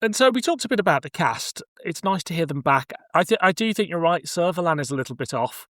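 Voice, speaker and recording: A man's voice: fairly high pitch, animated, fast, fairly bright tone, no room sound, clean studio sound. A man hosting a podcast, chatting casually through a review and thinking aloud as he hedges his points. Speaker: podcast host.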